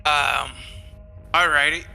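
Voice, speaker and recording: Male tabletop player, casual role-play, very bright somewhat high voice, faint music behind. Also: fairly even intonation, takes it slowly, creaky.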